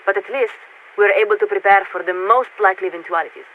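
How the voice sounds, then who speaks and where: A woman playing a polite, formal military radio signaler in a video game, speaking in a measured, patient, officious way through a radio filter. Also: mid-range voice. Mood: patient explaining. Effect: radio transmission filter. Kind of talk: scripted game voice acting. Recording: tinny narrow-band radio with static.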